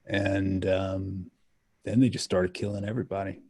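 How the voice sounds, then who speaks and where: Male panelist from Ethiopia, low and mellow, deliberate reflective delivery with emphatic passages. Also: little pitch movement, breathy edge, no room sound, clean studio sound.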